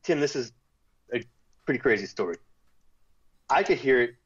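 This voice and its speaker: A man guest telling a personal story in casual talk, animated when quoting others, with restarts. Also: medium pitch, normal speed, slightly rough, lo-fi sound. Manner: animated retelling with quoted voices, restarts.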